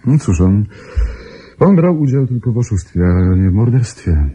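An actor playing a self-assured, gently teasing suitor and amateur sleuth who explains wryly in a radio play. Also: male voice, lowish voice, sing-song, normal speed, breathy edge. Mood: gentle, reassuring. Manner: explanatory, wry. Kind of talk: Polish radio drama acting.

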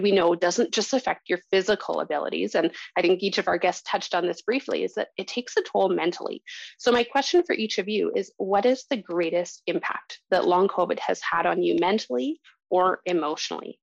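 Gravelly woman moderating a panel. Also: on the low side, no echo. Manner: measured, formal questioning. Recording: dull, dry lo-fi audio.

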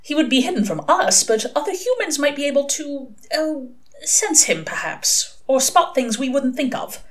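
A woman voicing a prim, earnest and gentle male angel in a storybook reading, hesitant and over-polite. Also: high-pitched, sing-song, normal speed, voice pushed up. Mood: thoughtful.

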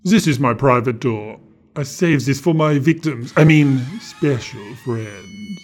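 A man's voice: medium pitch, unhurried, natural voice. A man voicing a creepy, glamorous woman who collects dolls, speaking with theatrical, sweetly menacing charm and calling people darling. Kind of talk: children's audio story acting.

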